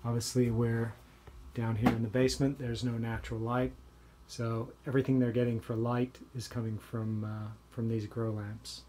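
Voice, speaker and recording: Relaxed middle-aged man explaining his gear. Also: medium pitch, normal speed, background noise. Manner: relaxed, plain explaining with fillers. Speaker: vlogger. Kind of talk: casual show-and-tell walkthrough. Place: small basement utility room.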